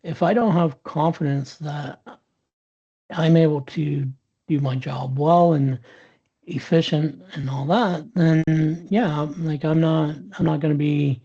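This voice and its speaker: A man with a dark, husky voice tells a candid story as an interview guest, stumbling and restarting as he goes. The webinar audio sounds dull and lo-fi. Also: on the high side, steady tone, takes it slowly. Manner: frequent restarts and fillers, earnest. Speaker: interview guest, sales professional. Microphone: video call.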